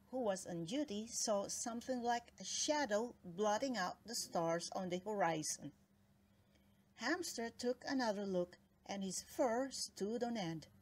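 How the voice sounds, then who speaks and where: A woman narrating a children's story aloud in an expressive, dramatic read-aloud style with deliberate phrasing. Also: medium pitch. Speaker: storybook reader.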